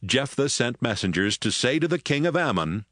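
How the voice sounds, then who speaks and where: A man narrating a dramatized Bible book in a steady, measured way, with music behind. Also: medium pitch, no room sound, studio quality. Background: background music.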